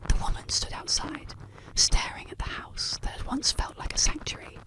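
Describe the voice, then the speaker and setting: An ASMR storyteller narrating scripted tales in a breathy, unvoiced whisper, with soft, deliberate phrasing. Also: low-quality recording.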